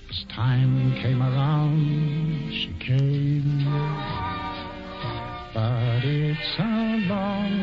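An actor playing an aging, pompous male governor in a 1940s radio musical, singing slowly and then barking orders. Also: on the high side. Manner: slow crooning singing, then blustering commands. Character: aging pompous male colonial governor courting a young maiden. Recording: dull vintage radio broadcast with loud orchestra.

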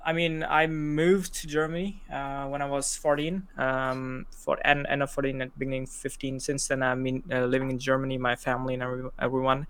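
Man in his twenties, hesitant casual talk, smooth voice, monotone. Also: medium pitch, a little fast, hardly any pauses. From Pakistan. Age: 20s. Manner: hesitant, many fillers and restarts. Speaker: podcast guest. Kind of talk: casual interview chat.

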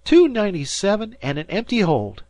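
Male reader as earnest teenage fishing hand for a storybook, confident, colloquial, pitched up, lively. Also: measured pace, no room sound. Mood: brisk, proud. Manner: plain-spoken, colloquial.

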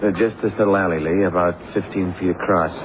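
An actor playing an observant, deferential detective's assistant in a 1970s radio drama, reporting details matter-of-factly. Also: male voice, low voice, fairly even intonation, fast, lightly acted. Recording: noisy, tinny old radio broadcast.

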